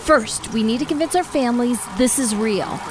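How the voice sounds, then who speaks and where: A woman's voice: fairly high pitch, normal speed, lightly acted. A woman playing a decisive, urgent survivalist neighborhood leader who gives orders in a radio drama. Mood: commanding.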